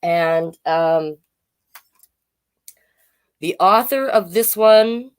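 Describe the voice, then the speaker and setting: A woman with a voice pitched a little low hosts a storytime livestream for children. She chats casually with viewers and reads facts aloud. Her delivery wanders, with asides and self-corrections along the way. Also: drawn-out words, smooth. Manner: chatty, easily sidetracked, self-correcting.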